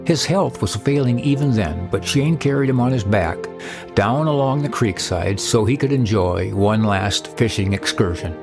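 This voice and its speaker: A man delivers a scripted podcast introduction in a measured, solemn way. His voice sits on the low side, and clear music plays underneath. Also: lively intonation. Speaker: podcast host. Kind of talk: scripted narration. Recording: narration over music.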